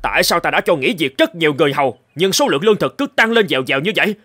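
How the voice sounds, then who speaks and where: A man's voice: fairly high pitch, animated, rapid-fire, noisy background, natural voice. A man playing a stingy, suspicious, hot-tempered rich lord in a cartoon folktale, with pompous, exclamatory delivery. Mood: angry. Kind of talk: animated folktale acting.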